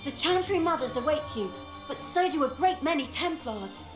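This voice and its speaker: An actress playing a young female scout giving a brisk report in a game. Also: high-pitched, expressive. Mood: urgent. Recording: echoey game audio with music.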